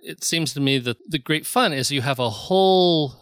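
A man hosting an interview with a bright, forceful voice, recorded dry and close. He sits a little high in pitch and keeps his questions short and friendly. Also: normal speed, clear, resonant tone, dry recording. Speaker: podcast host.

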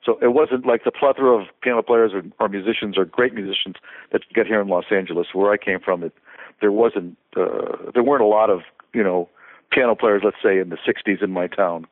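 A man's voice: on the low side, brisk, no room sound. Older man on a tinny line. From Connecticut, USA. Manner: rambling, many restarts and fillers, chuckles. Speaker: guest, conductor and composer. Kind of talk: casual interview conversation.

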